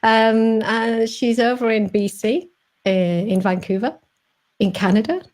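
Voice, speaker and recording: A woman's voice: fairly high pitch, a little slow, a little mellow. A woman with a Sheffield accent hosting a Facebook Live interview over a video call, chatty and full of fillers and restarts. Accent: Sheffield English. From England. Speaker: host.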